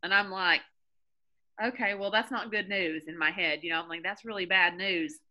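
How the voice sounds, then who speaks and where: Give me a woman leading a team video call with a bright, forceful voice. She is energetic and emphatic, laughs easily, and hammers her points home through repetition. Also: fairly low pitch, moderate pace, highs cut off. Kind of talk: motivational group call.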